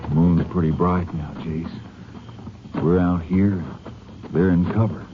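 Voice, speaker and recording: An actor playing a questioning Ranger partner on the trail, in a 1950s radio drama. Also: male voice, low-pitched, steady tone, a little slow. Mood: wary. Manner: questioning, easygoing. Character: eager, doubtful male Ranger sidekick. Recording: dull hissy old radio recording.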